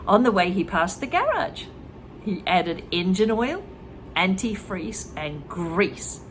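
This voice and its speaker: Lively female storyteller-teacher, reads and explains vocabulary, light room noise. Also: mid-range voice, slow pace, poor audio. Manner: lively, explains words to learners. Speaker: reader and English teacher. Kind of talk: children's story read aloud with explanations.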